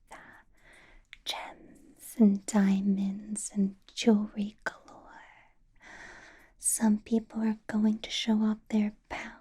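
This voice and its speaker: A woman playing a possessive, doting vampire boss in an ASMR roleplay, in a breathy whisper with a deliberate, coaxing, playfully menacing manner. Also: medium pitch, dark tone, full character voice. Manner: slow, deliberate, coaxing, teasing.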